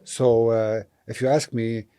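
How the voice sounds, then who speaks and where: A middle-aged man from Lebanon, a property investor, answering interview questions thoughtfully with many pauses and fillers. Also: medium pitch, level tone, mellow, smooth. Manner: thoughtful, hesitant with frequent fillers.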